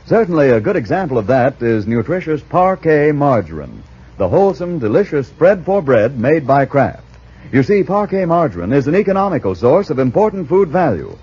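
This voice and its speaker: A male 1940s radio announcer delivers a scripted sales pitch with an animated, swooping melody. His delivery is persuasive and emphatic, and the vintage broadcast sound is slightly dull and husky.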